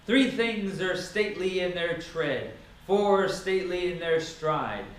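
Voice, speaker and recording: A man giving a dramatic, solemn reading of scripture, declaiming each phrase deliberately. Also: sits high, animated. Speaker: Bible reader. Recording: very echoey, reverberant sound.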